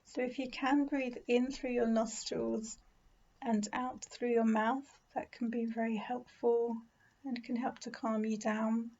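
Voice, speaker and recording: A woman leading a guided meditation in a gentle, soothing, unhurried manner with pauses. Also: fairly high pitch, flat delivery, dull audio. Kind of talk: guided body scan meditation.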